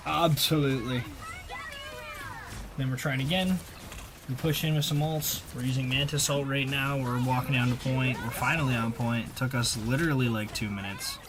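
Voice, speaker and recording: A man giving casual, slangy, conversational voice-over commentary on video gameplay, as a gaming video creator. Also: medium pitch.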